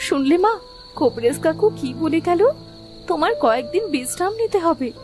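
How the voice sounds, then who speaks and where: A woman voicing an eager, caring young village daughter in a cartoon story, with earnest, expressive delivery. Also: high voice, normal speed, soft background music. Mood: reassuring. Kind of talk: Bengali animated moral story dubbing.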